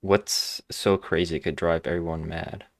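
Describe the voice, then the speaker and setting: A man's voice: sits low, level tone, normal speed, vocal fry, dry recording, clean studio sound. A man doing casual game commentary, informally musing aloud as he plays. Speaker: gaming video host. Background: faint game music.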